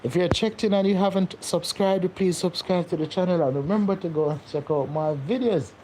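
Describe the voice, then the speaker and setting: A man's voice: high voice, fairly quick, background noise. A young man, hosting a casual live stream and chatting with viewers, rambling and repeating his words as he goes. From Jamaica. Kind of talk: casual live-stream chat reading comments.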